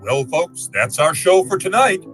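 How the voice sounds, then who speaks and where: A man's voice: high voice, sing-song, measured pace, over loud music. A man reading as a scripted announcer in the style of old-time radio ads and sign-offs.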